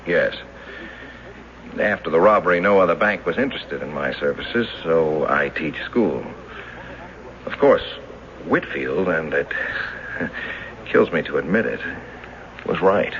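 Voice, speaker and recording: Low-voiced, hesitant schoolteacher man. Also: lively intonation. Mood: halting, sheepish. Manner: hesitant, halting. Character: earnest mild schoolteacher, former bank teller, recalling a robbery. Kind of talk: radio drama acting. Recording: dull hissy old radio recording.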